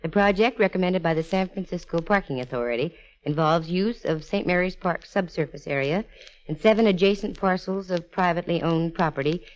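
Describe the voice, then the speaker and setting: A woman's voice: lowish voice, fairly quick, close to an everyday voice. An actress playing a wry, confident female private eye with an American accent, breezy and teasing in 1940s radio style. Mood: dry. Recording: muffled vintage radio recording, hiss and clicks.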